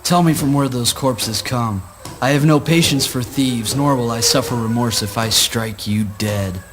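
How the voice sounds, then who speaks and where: Man playing a stern, righteous father, measured pitch. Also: background noise, natural voice. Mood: stern, threatening. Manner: pompous, archaic, declamatory. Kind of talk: spoken word play acting.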